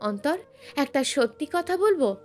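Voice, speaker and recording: Lady narrator doing sweet childlike young wife in a story, coaxing, high swoopy voice. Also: expressive, unhurried, darkish tone, soft background music. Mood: playful. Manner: cutesy, coaxing.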